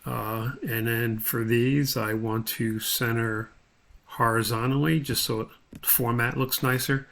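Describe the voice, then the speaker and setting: A man giving an unscripted step-by-step software tutorial, talking haltingly as he thinks aloud while he works. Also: mid-range voice, little pitch movement, creaky.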